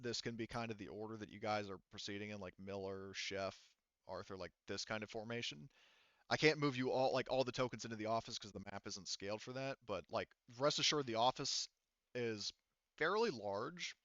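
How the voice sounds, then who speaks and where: A man narrating a tabletop roleplaying game as game master, explaining things in a halting way. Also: fairly low pitch, fairly bright tone, no room sound, dull audio.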